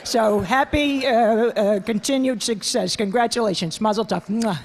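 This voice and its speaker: A woman's voice: on the high side, normal speed, a little hiss or hum, close to an everyday voice. A woman voicing a rambling, effusive older lady who keeps trailing off with fillers. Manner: rambling, filler-heavy, hesitant. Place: large auditorium stage. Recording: long reverb of a big hall.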